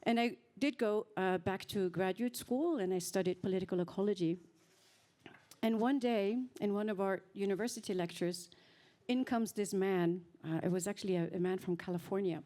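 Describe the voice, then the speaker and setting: A woman's voice: medium pitch, moderate pace, mellow. Earnest woman. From Norway. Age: 50s. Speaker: panel speaker. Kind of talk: personal storytelling on a panel.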